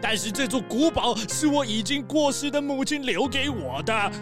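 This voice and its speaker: An actor playing a remorseful, grieving old count in a children's audio drama, with halting, pleading speech. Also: male voice, very high voice, animated. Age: older. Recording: loud background music.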